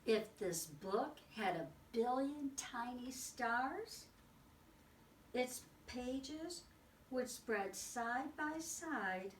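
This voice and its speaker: A woman reading a picture book aloud to children like a teacher, expressive and exclaiming, with dramatic pauses and little asides. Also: fairly high pitch. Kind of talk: read-aloud storytelling for children. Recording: roomy, distant mic with faint noise.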